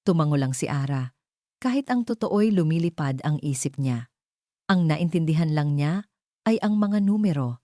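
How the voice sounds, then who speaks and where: A woman's voice: low voice, animated, moderate pace, warm tone, dry recording, studio quality. A woman with a Filipino accent reading a scripted, dramatic story as its narrator.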